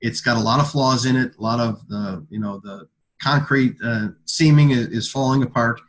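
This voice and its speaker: A man gives an informal product presentation as a sales presenter, speaking haltingly and often repeating words. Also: mid-range voice, fairly bright tone. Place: conference call.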